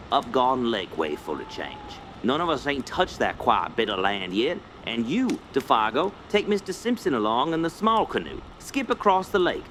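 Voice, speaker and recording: A man's voice: a little high, fairly bright tone. A man playing a seasoned American hunting guide in a radio drama, folksy, blunt and teasing. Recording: noisy outdoor ambience behind.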